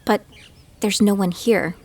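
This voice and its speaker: A woman's voice: mid-range voice, steady tone, unhurried, darkish tone, a little hiss or hum, natural voice. A woman playing a hesitant, apologetic, anxious young fighter in an audio drama. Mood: uneasy.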